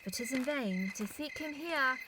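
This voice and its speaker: An actress playing an earnest young friend in an amateur Shakespeare audio drama, recorded at home on a phone. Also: female voice, sits high, lively intonation, moderate pace. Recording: noisy home phone recording, background hiss.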